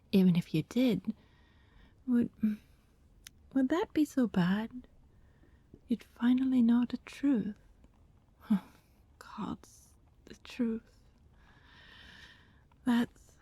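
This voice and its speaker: A woman playing an anxious, lovelorn vampire neighbor in an ASMR roleplay, speaking to the listener in halting, secretive, coaxing rambles that slip into whispers. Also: on the high side, mellow, no room sound, exaggerated voice. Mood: hushed longing. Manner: halting, rambling, breathy asides dropping into whispers.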